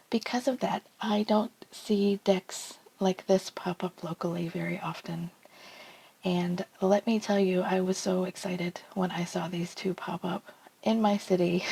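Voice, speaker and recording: A woman's voice: lowish voice, vocal fry, mellow, breathy edge, poor audio. A woman from New Zealand with a New Zealand accent, chatting casually and enthusiastically to camera as a tarot channel host, with lots of ums and asides.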